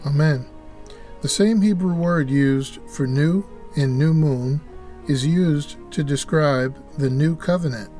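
A man's voice: fairly high pitch, slow, mellow, faint music behind. A man co-hosting a religious podcast, reading an earnest, preacherly script. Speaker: podcast co-host.

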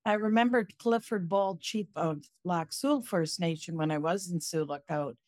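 A woman co-host sharing a memory in a reflective, conversational voice that sits a little low. The sound is close and dry, like a podcast mic. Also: normal speed, darkish tone, no room sound. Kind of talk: conversational recollection.

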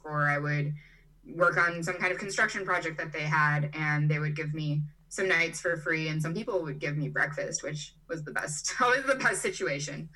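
A woman's voice: low voice, sharp tone, recorded from a distance, low-quality recording. A young woman in her twenties from Brazil and Chicago, chatting casually as an interview guest and filling her talk with "like" and "you know". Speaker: interview guest, digital nomad.

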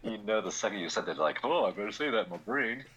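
A man in his forties co-hosts a casual podcast with a very deep, husky voice. His pitch swings widely as he talks, and he chuckles easily in a relaxed way. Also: normal speed, dull audio. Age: 40s. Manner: laid-back, giggly. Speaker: podcast co-host.

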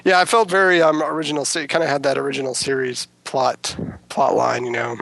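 Creaky-voiced male podcast co-host. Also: mid-range voice, flat delivery, a little fast, a bit bright, no echo. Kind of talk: casual discussion, some read summary.

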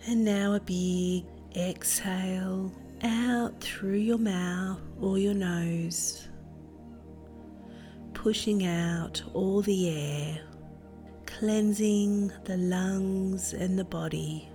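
A woman narrating a guided meditation in a gentle, soothing manner with deliberate phrasing. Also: mid-range voice, fairly even intonation, soft background music. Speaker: meditation guide and podcast host.

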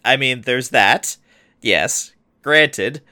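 A man co-hosting a podcast, teasing his partner in a wry tone and laughing often. His voice is bright and forward at a mid pitch. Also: very slow. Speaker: podcast co-host. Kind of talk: casual chat.

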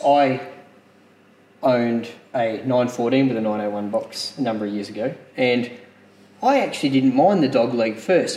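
Male podcast host, mid pitch, chatty interviewer, echoey room. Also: a little mellow. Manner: chatty, leading questions.

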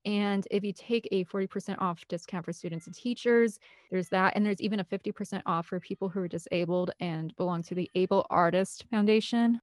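A woman's voice: medium pitch, brisk, darkish tone, no room sound. Chatty, enthusiastic woman, dry close sound. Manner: talkative, gushing, rambling. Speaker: interview guest, film composer and director. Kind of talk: casual enthusiastic chat.

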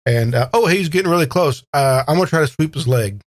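A man's voice: on the high side, expressive, quick pace. A man playing a tabletop role-playing game, chatting casually and thinking his moves through aloud in a playful, hesitant way.

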